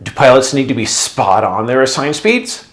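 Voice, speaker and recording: A man's voice: medium pitch, sing-song, moderate pace. A man narrating a scripted explainer in a didactic, conversational way. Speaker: presenter, former air traffic controller.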